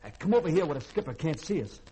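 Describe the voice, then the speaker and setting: A man's voice: on the high side, big pitch swings, racing, dark tone, a little hiss or hum, poor audio. An actor playing a folksy, wheedling male ship's cook who likes his drink, in a 1950s radio drama. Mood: sly, wheedling. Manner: folksy, hesitant, blustery.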